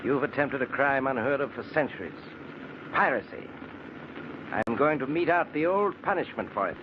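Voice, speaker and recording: A man's voice: medium pitch, animated, normal speed, close to an everyday voice. A man playing a stern, merciless spaceship captain in 1950s radio drama style, clipped and commanding. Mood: stern, unyielding. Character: stern, merciless spaceship captain who is his own law. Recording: muffled, noisy old radio recording.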